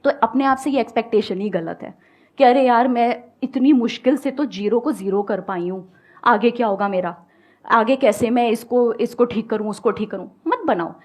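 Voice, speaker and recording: A young woman with a fairly high voice gives advice from a stage. She speaks calmly and coaxingly, leaning on everyday examples to make her points. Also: normal speed. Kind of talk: motivational talk and audience Q&A. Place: stage before a live audience.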